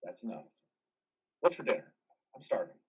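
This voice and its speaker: A man's voice: normal speed, a little mellow, distant mic, close to an everyday voice. A man in a reader's theater drama playing a troubled, haunted army veteran, earnest and hesitant. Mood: weary, evasive.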